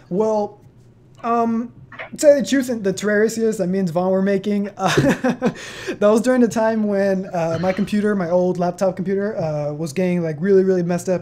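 A man's voice: high-pitched, dark tone, a little hiss or hum. A seventeen-year-old young man hosting a casual question-and-answer chat on his channel, rambling with many fillers and laughs. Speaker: channel host.

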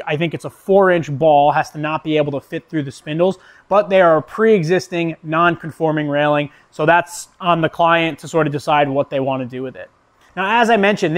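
A man in his thirties, a welder and shop owner, talking through his work in a relaxed, practical, matter-of-fact way. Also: fairly high pitch. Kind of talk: explanatory how-to narration. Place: metal workshop, indoors.

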